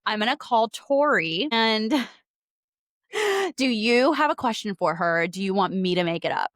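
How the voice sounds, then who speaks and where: A woman hosting a casual podcast, talking in an excitable, informal, girl-talk way peppered with "like". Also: mid-range voice, a little slow, no echo, clean studio sound. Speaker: podcast host. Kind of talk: casual chatty phone-call podcast.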